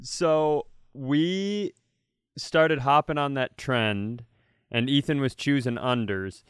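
A man's voice: medium pitch, takes it slowly, clear, resonant tone, dry recording, studio quality. A man co-hosting a comedy podcast, telling casual comic stories with profane, jokey banter.

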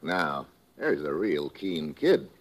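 A man's voice: a bass voice, very animated, slow, background noise, full character voice. An actor playing a wisecracking, tough male government agent who also narrates, in a hard-boiled, breezy manner. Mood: wry. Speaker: narrator and lead. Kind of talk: 1950s radio drama acting.

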